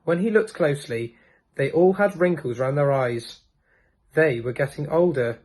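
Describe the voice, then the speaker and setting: A man's voice: fairly high pitch, normal speed, darkish tone. A man reading a children's storybook as scripted narration. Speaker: storybook reader.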